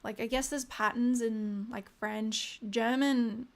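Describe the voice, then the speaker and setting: Slow-talking woman reviewing flashcards aloud. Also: a little high, steady tone. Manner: reads vocabulary aloud in short bursts, muttering asides to herself; cheerful and chatty with viewers. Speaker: language learner streaming live. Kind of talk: casual live-stream study session.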